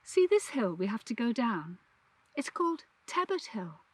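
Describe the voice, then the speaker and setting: A woman's voice: fairly high pitch, sing-song, moderate pace, husky, no echo. A woman voicing a kindly, practical father with a reassuring, matter-of-fact manner in a storybook reading. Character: kindly, practical father explaining things to his children.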